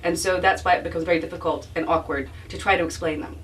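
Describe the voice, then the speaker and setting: Distant recording, faint background noise, woman, lowish pitch, author in conversation, halting, self-effacing, reflective. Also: fairly even intonation. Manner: halting, self-deprecating, reflective, restarts phrases. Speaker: author being interviewed about her book. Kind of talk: informal spoken book talk with audience. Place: bookstore event.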